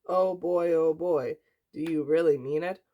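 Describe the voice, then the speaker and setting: A woman's voice: low voice, a little slow, muffled recording. A woman voicing an earnest, old-fashioned teenage boy who gushes with corny enthusiasm in a comic story. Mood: gushing. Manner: corny golly-gee enthusiasm. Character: earnest, wholesome, gushing old-fashioned schoolboy sweetheart.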